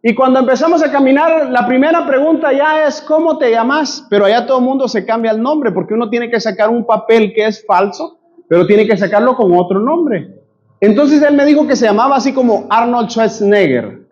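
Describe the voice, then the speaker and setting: A man's voice: very high-pitched, normal speed, lo-fi sound. A male pastor from Guatemala with a Guatemalan Spanish accent, preaching a sermon and telling a personal story to his congregation. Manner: animated storyteller addressing congregation as brothers. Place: church.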